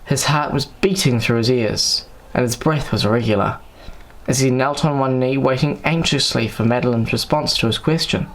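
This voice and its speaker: A man with a medium-pitched voice reads an audiobook story. He delivers scripted narration as the narrator of a prose tale. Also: moderate pace, fairly bright tone, poor audio.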